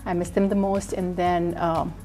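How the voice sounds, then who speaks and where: A soft-spoken woman in her thirties from Pakistan, telling her story as a talk show guest. Her voice sits a little low, and she often pauses with ums. Faint music plays underneath. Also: little pitch movement. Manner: soft-spoken, warm, frequent ums and mm-hmms. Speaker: guest, entrepreneur. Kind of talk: casual interview.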